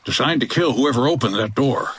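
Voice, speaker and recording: An actor playing a wry 1950s hotel owner and boat skipper in a noir comedy audio drama, dry and terse. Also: male voice, mid-range voice, brisk, a bit bright, husky. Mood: hard-boiled. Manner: dry, hard-boiled, terse.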